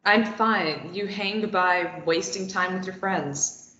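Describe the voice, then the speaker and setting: A woman reading a defiant captive young woman at a table read, firing back quick retorts. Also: fairly low pitch, sharp tone, reverberant, highs cut off. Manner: quick retorts, tense and pleading when threatened.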